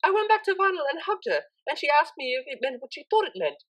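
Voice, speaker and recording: A woman voicing a refined young female pony student, polite, earnest and excitable, in an audiobook story. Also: high voice, quick pace, voice pushed up, rough recording. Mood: excited, gushing.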